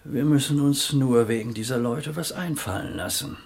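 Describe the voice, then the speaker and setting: An actor as an older businessman, shrewd and used to command. His mid-pitched voice is blunt and emphatic, with the determined delivery of radio drama. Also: normal speed, a little mellow, natural voice. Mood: resolute. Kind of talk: German radio play acting.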